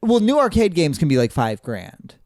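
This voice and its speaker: A man co-hosting a casual podcast, reacting with quick questions and what-if musings and casual swearing. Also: fairly high pitch, sing-song, a little mellow, no room sound, clean studio sound. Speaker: podcast co-host.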